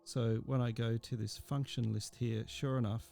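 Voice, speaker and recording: Man, teacher, screencast tutorial, informal step-by-step explaining, gravelly low-key mellow tone. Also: little pitch movement, normal speed, faint music behind.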